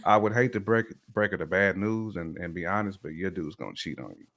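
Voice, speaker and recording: A man's voice: low-pitched, brisk, fairly bright tone. A man giving casual, opinionated commentary in conversation, stressing his points by repeating words.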